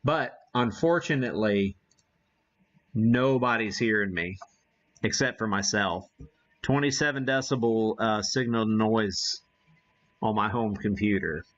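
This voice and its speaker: Man, slow pace, casual live demo commentary, halting thinking-aloud delivery, muffled lo-fi recording. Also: on the low side, a bit bright. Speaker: ham radio live stream host.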